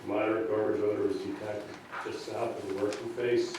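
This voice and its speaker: A man reading a report aloud at a public meeting in a halting, monotone way. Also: lowish voice. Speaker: meeting participant presenting inspection reports. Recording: echoey meeting room, distant mic, noisy.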